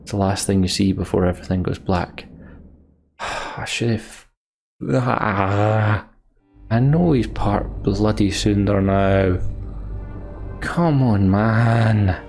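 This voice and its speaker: A man's voice: on the low side, slow, long pauses, darkish tone, soft background music. A man reading game text aloud in a let's play, with frustrated asides. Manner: plain narration, frustrated asides.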